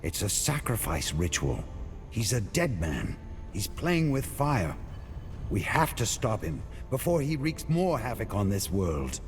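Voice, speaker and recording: A grim warrior hero in a fantasy game. He speaks low and tersely, with resolute drive and lively swings in pitch. Music plays clearly behind him. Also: a little slow. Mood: defiant, urgent. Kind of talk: video game cutscene acting.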